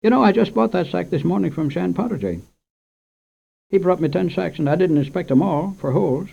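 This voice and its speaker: A man reading aloud and voicing a kindly older male country storekeeper with folksy, gentle speech, in a muffled old radio recording. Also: high voice, animated, fairly quick, vocal fry, breathy edge, pitched above the performer's own voice, full character voice.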